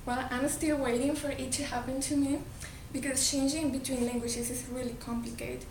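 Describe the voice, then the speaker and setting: A woman's voice: high-pitched, flat delivery, normal speed, a little mellow. A woman from Colombia with a Colombian Spanish accent, a native Spanish speaker giving an earnest talk to an audience and often restarting her words. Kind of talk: presentation to an audience. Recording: echoey hall, distant mic, background noise.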